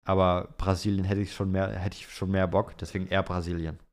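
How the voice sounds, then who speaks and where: A young man talks in a fairly low voice. He is co-hosting a podcast, answering questions casually and in relaxed, colloquial phrasing. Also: brisk. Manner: colloquial, slangy. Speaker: podcast co-host.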